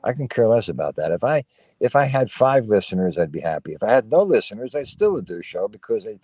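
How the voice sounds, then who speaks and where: Male guest, very deep pitch, phone mic, earnest and repetitive, halting. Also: big pitch swings, a little mellow, no echo. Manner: earnest, repeats his points, halting restarts. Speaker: guest, fellow show host. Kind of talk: casual livestream chat.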